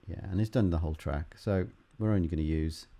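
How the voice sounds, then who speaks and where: A man with a low, gravelly voice talks through a software tutorial. His tone is mellow and relaxed, and he explains in a halting way, thinking aloud as he demonstrates. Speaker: music production instructor.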